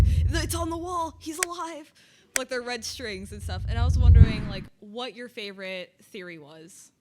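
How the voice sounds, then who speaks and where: A woman's voice: a little high, warm tone, slight background noise. A woman from the audience asking a casual fan question at a convention panel.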